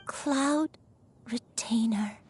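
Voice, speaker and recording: A woman voicing a gentle, remorseful old grandmother with a solemn, emotional delivery in a dramatic game. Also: fairly high pitch, very slow, heavy background noise. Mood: stunned realisation. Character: gentle, remorseful old grandmother, secretly a crane in human form. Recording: music behind the voice.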